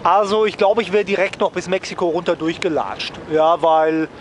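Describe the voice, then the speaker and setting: Male travel vlogger, raised pitch, wry off-the-cuff chat, recorded outdoors with faint background noise. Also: steady tone, moderate pace. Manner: wry, joking, often ends phrases with a questioning "ne?". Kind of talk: casual, rambling vlog chat to viewers.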